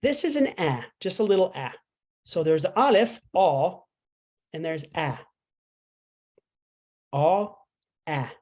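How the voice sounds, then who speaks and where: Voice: mid-range voice, expressive, slow, long pauses, darkish tone. Casual, chatty language instructor. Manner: chatty, explains with fillers and playful asides. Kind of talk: casual online language lesson.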